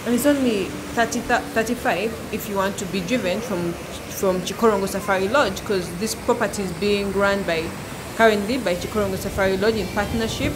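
Young woman vlogging, chatty and upbeat, phone at arm's length, clear water noise behind. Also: mid-range voice, normal speed. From Uganda. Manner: upbeat, chatty, addresses viewers directly. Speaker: travel vlogger, channel host. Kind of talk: travel vlog to camera. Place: outdoors by a waterfall. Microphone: selfie phone at arm's length.

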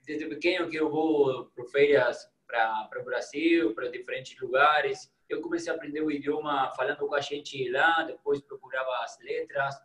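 An enthusiastic young man with an Argentine Spanish accent, speaking his second language warmly and sometimes stopping to search for a word. His voice sits a bit high, and the small room he is in comes through clearly in an echoey sound. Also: steady tone, normal speed, hardly any pauses. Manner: warm, enthusiastic, searches for words at times. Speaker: guest, psychologist. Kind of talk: casual interview over video call.

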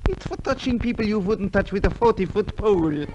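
A man playing an eccentric, boastful professor and inventor in a 1950s radio comedy sketch, excitable and pleased with himself. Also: high-pitched, a little fast, dull audio. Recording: noisy 1950s radio show recording.

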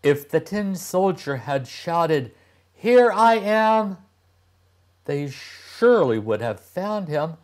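A man in his sixties reading a storybook aloud to young children, deliberately and with dramatic pauses. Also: mid-range voice, darkish tone. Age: older, about 60s. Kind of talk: expressive storybook narration.

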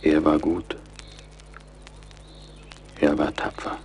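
Ancient grieving patriarch, male, very deep, slow, solemn, gravelly radio drama voice. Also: completely flat delivery, darkish tone, slight background noise. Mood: mournful, solemn. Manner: solemn funeral oration. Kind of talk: dramatic radio play acting.

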